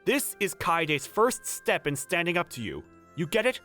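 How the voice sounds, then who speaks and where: A man's voice: fairly high pitch, very animated, moderate pace, sharp tone, faint music behind. An actor playing an earnest, protective young male manager who narrates a romcom manga dub in first person. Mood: firm, protective. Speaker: narrator and lead character.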